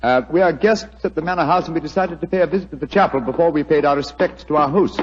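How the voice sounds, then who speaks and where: A man playing a shrewd, courteous gentleman detective with a British accent in a 1940s radio drama, speaking precisely and deliberately. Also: on the high side, breathy edge. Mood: polite, composed. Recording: muffled old radio recording.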